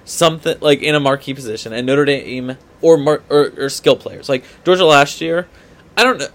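A man's voice: on the high side, normal speed, fairly bright tone. A man co-hosting a sports podcast in casual debate, often hedging and repeating his points for emphasis.

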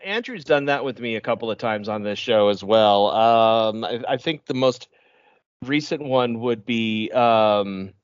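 A man's voice: fairly low pitch, measured pace, smooth, no room sound, highs cut off. A man hosting a casual podcast chat, joking and hesitant with stumbling restarts.

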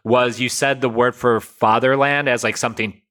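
A man's voice: mid-range voice, fairly even intonation, moderate pace, fairly bright tone. A man in his late thirties from the United States, explaining his ideas in a thoughtful, casual podcast chat. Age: late 30s.

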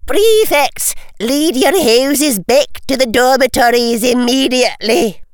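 A stern witch in charge of a school, as a male narrator puts her on. Her voice sits high and moves widely in pitch, deliberate and authoritative, like someone giving orders. The sound is dry and close. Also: animated, clear, resonant tone, dry recording, full character voice. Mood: commanding. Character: stern, commanding senior witch, a deputy headmistress.

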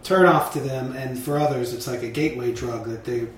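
A man's voice: mid-range voice, a little fast. A man co-hosting a podcast, curious and direct, asking his guest pointed questions. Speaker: podcast co-host. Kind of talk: casual podcast chat. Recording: very echoey room, faint noise.

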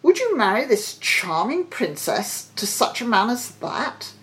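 A woman's voice: a little high, very animated, measured pace, fairly bright tone, voice pushed up, rough recording. A woman voicing a confident, courtly young sea captain in a storybook reading, assured and challenging. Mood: indignant.

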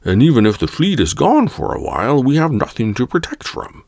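A man's voice: medium pitch, wildly swooping pitch, fairly quick, creaky, a little mellow, no room sound, clean studio sound, voice pushed up, full character voice. A man voicing a young, confident alien councillor in a science-fiction story, speaking up insistently. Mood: eager, confident.

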